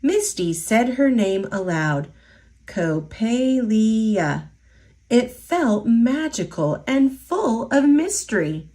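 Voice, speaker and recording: Woman storyteller for kids, slow and deliberate, lively rising and falling voice. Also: a little high. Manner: slow, expressive, sing-song storytelling. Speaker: storytime reader. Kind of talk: children's picture book read-aloud.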